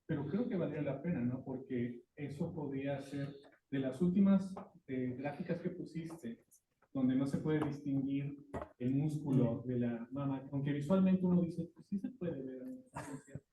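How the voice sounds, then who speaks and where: A man's voice: medium pitch, moderate pace, mellow, slight background noise. A man asking probing technical questions about the method during a question session. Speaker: audience member asking questions. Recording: tinny phone-like call line, echoey.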